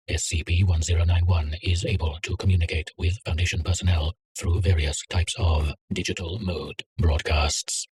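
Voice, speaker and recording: A man reading a containment document aloud in a measured, clinical way. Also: low-pitched, darkish tone. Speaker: narrator. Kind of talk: scripted reading of a containment file.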